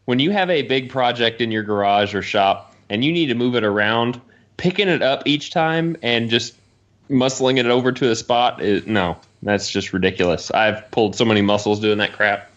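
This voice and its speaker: A man with a very bright, forceful voice at a middling pitch chats casually as a podcast co-host. He tells things in an animated storyteller's way, and the audio is a little dull and lo-fi. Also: normal speed. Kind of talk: casual chat.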